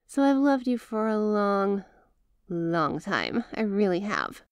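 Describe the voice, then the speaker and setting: A woman playing an apologetic, lovestruck young mafia heiress in an intimate ASMR roleplay, talking in a hesitant, conversational, softly teasing way. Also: mid-range voice, sing-song, takes it slowly, clear, resonant tone, no room sound, studio quality. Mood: shy, affectionate.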